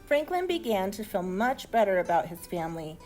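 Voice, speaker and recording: A woman reads a children's storybook in an expressive, deliberate storytelling manner. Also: medium pitch, soft background music. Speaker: storybook reader. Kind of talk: animated children's story narration.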